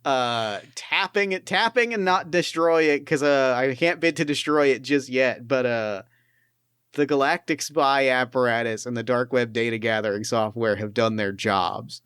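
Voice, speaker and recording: A man's voice: medium pitch, moderate pace, a bit bright, clear, resonant tone, no echo, clean studio sound. A man running a tabletop actual-play game as game master, talking with enthusiasm, swearing freely and often restarting his sentences. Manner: enthusiastic, profane, stumbles over restarts.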